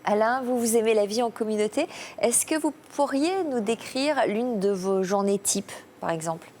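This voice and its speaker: A woman hosting a television talk show, guiding guests with soft murmurs of encouragement. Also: a little high, moderate pace, slight background noise. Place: television studio.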